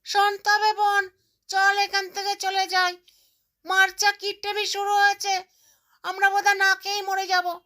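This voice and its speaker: A woman's voice: extremely high pitch, completely flat delivery, measured pace, sharp tone, heavily acted. A woman voicing a little cartoon bird with a childlike, chattering manner. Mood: disappointed, resolved. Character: greedy, stingy little mother bird and her chirpy chicks. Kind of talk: cartoon character voice.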